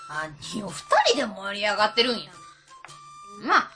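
A woman's voice: mid-range voice, animated, normal speed, pushed and bright, faint music behind. A woman playing a cheery, brash middle-aged auntie with a Kansai accent and a breezy, familiar manner in a radio comedy. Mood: breezy, teasing. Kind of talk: comic radio drama acting.

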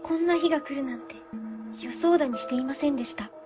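Timid, high-voiced young office woman, acted out. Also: fast, over music, natural voice. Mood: solemn. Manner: hesitant, meek, polite. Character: timid, stammering young woman office worker, also narrating. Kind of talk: web radio drama acting.

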